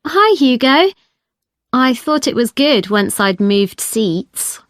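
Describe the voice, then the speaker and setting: A frank young female student in a scripted educational dialogue. Her voice sits a little high and sounds conversational and sure of itself, with a very dry recording. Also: very animated, slow, a bit bright, no room sound, heavily acted. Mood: chatty. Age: young adult. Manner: conversational, firm. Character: young female first-year fashion student, independent-minded, frank. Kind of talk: scripted listening-test dialogue acting.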